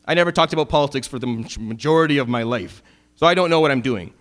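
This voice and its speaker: Brief: middle-aged man, imam's Friday sermon, rapid delivery, swings from emphatic to wry. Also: fairly bright tone. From Syria. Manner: impassioned, rising to emphatic peaks then dropping low and wry. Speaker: imam.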